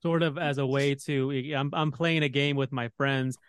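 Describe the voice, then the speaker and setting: Texan male podcast host, smooth dry voice, chatty and meandering. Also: medium pitch, fairly even intonation, moderate pace, no echo. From Texas. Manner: rambling, filler-heavy, leads discussion. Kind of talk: casual podcast discussion.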